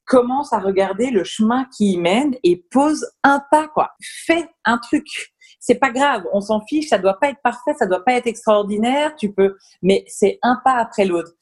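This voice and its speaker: A woman's voice: on the high side, normal speed. A woman, a business founder talking enthusiastically as a podcast guest. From Belgium. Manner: lively, enthusiastic, talks through ideas with fillers. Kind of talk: casual interview chat.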